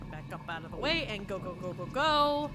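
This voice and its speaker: A woman's voice: medium pitch, sing-song, brisk, music in the background. A young woman, a little stuffy from allergies, chatting sarcastically to her viewers and the game while streaming. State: sniffly from allergies. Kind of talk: casual let's-play commentary.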